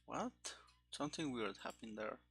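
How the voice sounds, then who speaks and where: A game developer thinking aloud while programming, speaking casually and haltingly, as if muttering to themself. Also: medium pitch, animated. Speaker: game developer streaming their coding. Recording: keyboard clicks and faint background noise. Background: typing and mouse clicks.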